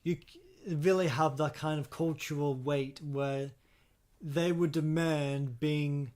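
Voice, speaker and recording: A man co-hosting a casual podcast discussion, talking in a halting, hesitant way and often repeating himself. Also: a little high, fairly even intonation, a little mellow. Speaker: podcast co-host.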